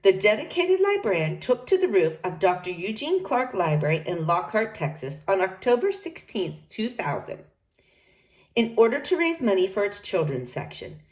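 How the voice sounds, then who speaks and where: A woman reading a children's book aloud, narrating in an expressive storytelling manner. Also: medium pitch, moderate pace. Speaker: storybook reader.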